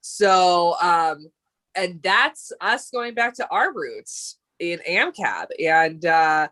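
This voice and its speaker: Lower-voiced woman in casual chat. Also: big pitch swings, drawn-out words, bright, forceful tone. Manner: rambling, many fillers and restarts. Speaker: co-host of a dance talk stream. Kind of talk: casual live-stream chat.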